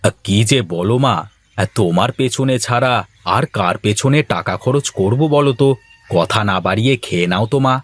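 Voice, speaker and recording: A man's voice: fairly low pitch, moderate pace, no echo, close to an everyday voice. A man voicing a devoted village son and firm husband in a cartoon. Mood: affectionate. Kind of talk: cartoon voice acting.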